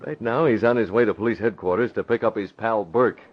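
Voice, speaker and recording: Vintage radio lead, male actor: low, dry, self-assured newspaper boss with a secret life, muffled broadcast. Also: brisk, over music, lightly acted. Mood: brisk, resolute. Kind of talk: 1940s radio acting.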